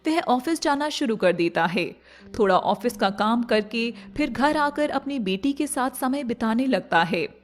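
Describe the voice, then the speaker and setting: A woman's voice: fairly high pitch, lively intonation, unhurried, faint music behind. A woman reading scripted storybook narration as the narrator of a moral tale.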